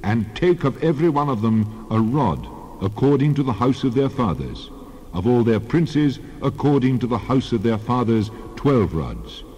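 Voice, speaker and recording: An actor playing God as a solemn, commanding lawgiver in a dramatized scripture reading. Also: male voice, mid-range voice, sing-song, moderate pace, a little mellow, breathy edge. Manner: solemn, declarative. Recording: long reverb, dull band-limited sound.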